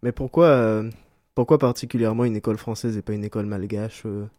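Dry-sounding co-host. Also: male voice, fairly low pitch, fairly even intonation, quick pace, a little mellow, no echo. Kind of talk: casual interview.

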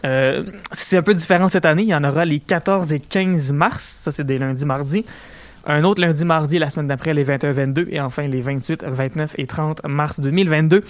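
A young man with a Quebec French accent hosting a radio show, chatting casually with jokes and quick asides. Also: fairly high pitch, no room sound. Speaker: radio host. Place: radio studio. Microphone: studio microphone.

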